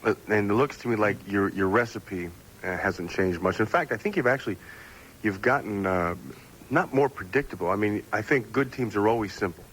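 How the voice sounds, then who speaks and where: A man with a lowish voice speaks as a football analyst conducting an interview. His delivery is conversational and unscripted. The AM radio recording has slight noise. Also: normal speed, fairly bright tone, low-quality recording.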